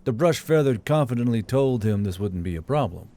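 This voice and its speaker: A man's voice: medium pitch, animated, a little fast, no echo. A man reading a scripted fantasy story aloud as an audiobook narrator. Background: soft background music.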